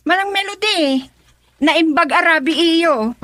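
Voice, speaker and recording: An actress playing a lively woman friend in an Ilocano radio love drama, with an Ilocano accent and an expressive, husky delivery. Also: very high voice, slow, dry recording, heavily acted. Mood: lively, friendly. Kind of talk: Ilocano radio drama acting with narrated inner thoughts.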